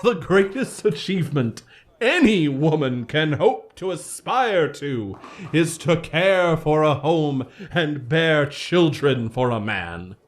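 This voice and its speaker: An actor playing a pious, condescending evangelical preacher in a melodramatic 1950s radio drama, quoting scripture in a pompous, theatrical way. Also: male voice, fairly high pitch, expressive, slow pace. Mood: fervent praying.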